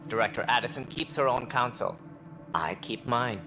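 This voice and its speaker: A man playing a cool, calculating alien director in a video game, speaking in a measured, formal, guarded way. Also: mid-range voice, over music, close to an everyday voice. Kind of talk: video game cutscene acting.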